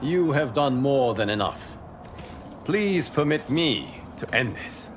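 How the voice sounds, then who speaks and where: An actor playing a solemn, noble guardian warrior in a video game, speaking in a measured, formal way. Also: male voice, mid-range voice, lively intonation, very noisy. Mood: grave, commanding. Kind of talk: video game cutscene acting.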